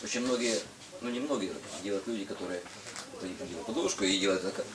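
Low-voiced middle-aged man instructing from afar. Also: fast talker, a crowd in the background. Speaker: parachute instructor. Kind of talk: explanatory safety talk to a group. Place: indoor equipment hangar with small audience. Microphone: camera some metres away. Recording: distant mic, background group noise.

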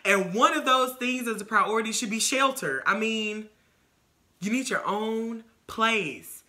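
Co-host, American woman, twenties, high and very forward voice, conversational and emphatic. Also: a little slow, bright, forceful tone. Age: 20s. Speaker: vodcast co-host. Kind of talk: casual chat. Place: living room couch.

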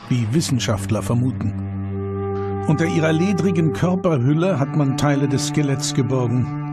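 A man reading scripted documentary narration with measured, dramatic phrasing and rhetorical questions. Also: fairly high pitch. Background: background music.

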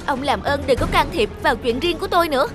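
A woman portrays a proud young daughter in a cartoon drama. Her high voice is emotional and insistent, carrying stubborn hurt, with clear music behind it. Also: quick pace, close to an everyday voice. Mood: cold, indignant. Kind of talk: animated drama dub.

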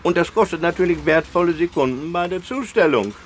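An actor playing a brash, blunt bike-courier trainer in a radio comedy, colloquial, self-righteous and exasperated. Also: male voice, a little high, a little fast, slight background noise, lightly acted. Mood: exasperated, smug. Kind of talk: radio comedy sketch, colloquial interview and shouted coaching.

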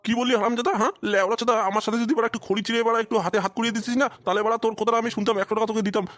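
A man's voice: extremely high pitch, level tone, racing, a bit bright, dry recording. A man voicing a foul-mouthed, scolding old village father with an aching back in a crude comedy cartoon. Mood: angry, threatening.

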